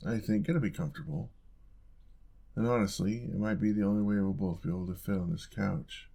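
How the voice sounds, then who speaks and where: A young man playing an affectionate, playful roommate in an intimate audio roleplay, talking in a soft, casual, teasing way. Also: low-pitched, brisk, creaky. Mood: gentle, caring.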